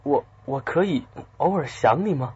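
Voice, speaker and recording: An actor playing an earnest, bewildered, lovestruck young man in a radio drama, with a husky voice. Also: fairly high pitch, animated, moderate pace, a little mellow. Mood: hurt, insistent. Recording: dull muffled audio, faint music.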